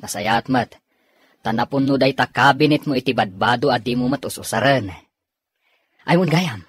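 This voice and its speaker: A man playing an easygoing married man in a radio comedy drama, with a husky voice. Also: mid-range voice, normal speed, fairly bright tone, dry recording. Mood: mildly surprised. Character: married man, easygoing husband and brother-in-law.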